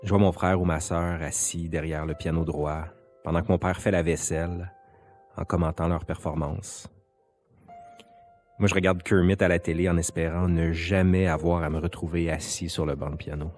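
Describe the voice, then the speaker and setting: Male narrator, Quebec French accent, low register, intimate scripted first-person storytelling, music bed. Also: level tone, moderate pace, a little mellow. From Quebec, Canada. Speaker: narrator and interviewer. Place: sound studio. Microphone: quality studio microphone. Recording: music behind the voice.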